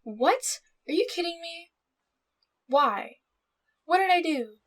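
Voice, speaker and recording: Girl acting as sassy exasperated big sister, 18, high voice, protective, anime dub. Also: expressive, slow pace, heavily acted. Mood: annoyed, defiant. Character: defiant, protective eighteen-year-old big sister. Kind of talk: fan-dub dramatic acting.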